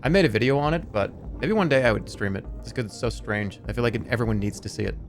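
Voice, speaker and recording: A man's voice: mid-range voice, lively intonation, quick pace, faint music behind. A man in his thirties streaming a game, chatting casually in a rambling, sarcastic way and swearing freely.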